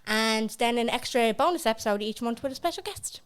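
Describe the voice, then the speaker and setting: A woman's voice: fairly high pitch, animated, a little fast, smooth. A young Irish woman co-hosting a podcast, chatting casually and joking around on a studio mic. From Ireland. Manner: chatty, jokey, laughs often. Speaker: podcast co-host. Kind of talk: casual chat.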